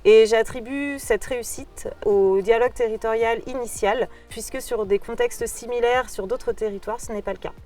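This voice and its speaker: A woman giving a prepared, measured testimonial as a programme coordinator. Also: fairly high pitch, mellow, recorded from a distance, faint music behind. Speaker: local water and agriculture programme coordinator.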